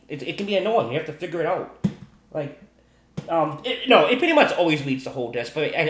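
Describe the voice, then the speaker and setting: A man explaining something casually and off the cuff, rambling and halting as he repeats himself. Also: on the high side. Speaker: gaming video maker. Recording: roomy, echoey sound.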